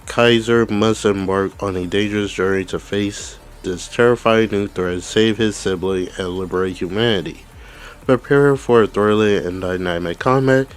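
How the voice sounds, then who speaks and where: A man's voice: on the low side, clear, resonant tone. A man hosting a gaming channel, coming down with the flu, casually reading out game details and commenting, with stumbles and restarts. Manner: reads text aloud with stumbles and restarts.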